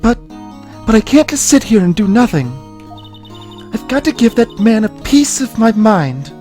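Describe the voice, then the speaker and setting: A woman acts out an earnest young woman from a video game. Her voice sits fairly high and is very mellow and soft. She is plucky and emotive, with music faintly behind her. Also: very animated, moderate pace. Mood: insistent. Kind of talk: dramatised video game dialogue reading.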